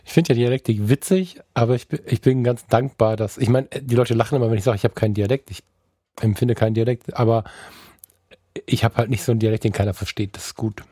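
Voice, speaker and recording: A man's voice: medium pitch, fairly even intonation, quick pace, mellow, slightly rough, no echo, studio quality. A man co-hosting a podcast in casual chat, blunt and joking in the way he talks. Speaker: podcast co-host.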